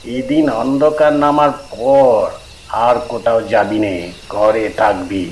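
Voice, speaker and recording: A man narrating a scripted first-person horror story in a measured, suspenseful way. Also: medium pitch, steady tone, a little mellow, a little hiss or hum, poor audio.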